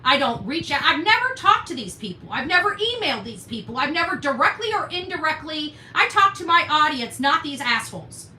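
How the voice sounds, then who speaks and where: A woman's voice: sits high, sing-song, brisk, few pauses, bright, forceful tone. A woman streaming to her audience, indignant, combative and emphatic as she reads documents aloud and comments on them. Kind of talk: livestream rant, reading documents aloud with commentary. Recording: roomy echo, distant mic, faint noise.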